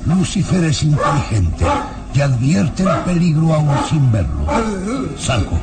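An actor playing a wary, commanding villainous baron in an old radio serial, with a deliberate, theatrical delivery. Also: male voice, a little high, sing-song. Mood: suspicious. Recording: vintage radio drama, loud music behind.

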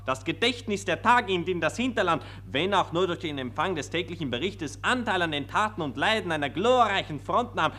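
An actor playing an earnest, pompous patriotic optimist, declaiming formally in a 1940s radio play. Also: male voice, high voice, animated, fairly quick, hardly any pauses, pushed and bright, exaggerated voice. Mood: reproachful, exalted.

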